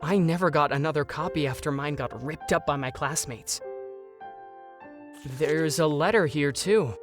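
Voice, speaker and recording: A man's voice: a little high, moderate pace, faint music behind, natural voice. A man playing an anxious, bookish young prince in a dramatic fandub, with a hesitant, overwrought delivery. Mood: pensive. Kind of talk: dramatic fandub acting with inner-monologue narration.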